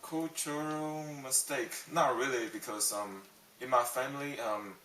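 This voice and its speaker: A man's voice: medium pitch, unhurried, sharp tone, a little hiss or hum. A young man from Taiwan answering interview questions, hesitant, with many ums and restarts. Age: young adult. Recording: roomy, distant mic.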